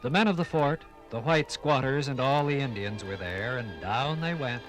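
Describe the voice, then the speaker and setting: Casting: male narrator for a vintage radio history documentary, dramatic measured phrasing, music backing. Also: medium pitch, fairly bright tone, poor audio.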